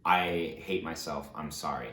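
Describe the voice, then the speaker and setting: Roomy, echoing sound around a man with a very low-pitched voice. He presents casually, in a self-deprecating and slightly hesitant way, like someone chatting to his online viewers. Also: a little slow, pushed and bright, a little hiss or hum. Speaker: actor presenting a book reading video. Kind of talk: casual, rambling talk to camera.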